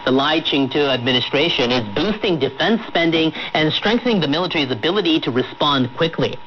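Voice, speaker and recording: A man's voice: a little high, lively intonation, a little fast. A man reading scripted news as a radio anchor. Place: radio studio, heard through shortwave reception. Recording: muffled shortwave radio broadcast with static.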